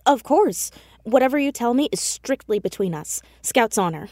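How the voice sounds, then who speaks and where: A woman's voice: a little high, expressive, moderate pace, warm tone, no room sound, studio quality. A woman playing a stubborn, inquisitive teenage girl sleuth in an audio drama, earnest and probing in a casual, argumentative way.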